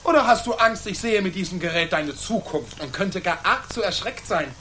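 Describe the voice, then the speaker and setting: A woman's voice: fairly low pitch, lively intonation, moderate pace, a bit bright, a little hiss or hum. A woman playing a haughty, impatient fifteen-year-old boy emperor who talks down to everyone in a historical radio play. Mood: mocking. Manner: imperious, condescending.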